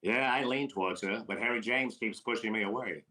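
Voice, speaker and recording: An actor playing a wisecracking, fast-talking middle-aged comedian in an old-time radio comedy recreation. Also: male voice, on the low side, bright, forceful tone, low-quality recording. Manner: quick wisecracks, sly teasing delivery.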